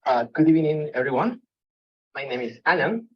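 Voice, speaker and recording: A man from Peru with a Peruvian Spanish accent giving a presentation, pausing often and restarting his sentences. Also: on the high side, animated, slightly rough. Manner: halting, with many fillers and restarts. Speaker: guest speaker. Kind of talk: presentation talk. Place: meeting room. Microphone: hall microphone. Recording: dull lo-fi room recording.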